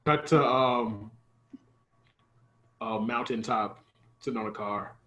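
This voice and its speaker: A man setting improvised comedy scenes in halting narration, in a roomy, echoing space. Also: mid-range voice, pushed and bright, highs cut off.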